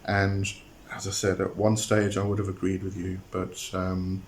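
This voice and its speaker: A man talking to his viewers in a hesitant monologue, often pausing on "um" and repeating words as he explains a term. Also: low-pitched, completely flat delivery. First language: not a Greek speaker. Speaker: occult channel host.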